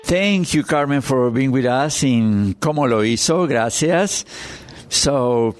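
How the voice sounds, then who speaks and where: Male podcast host, mid-pitched. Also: lively intonation, slow, faint music behind. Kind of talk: scripted intro and conversational interviewing.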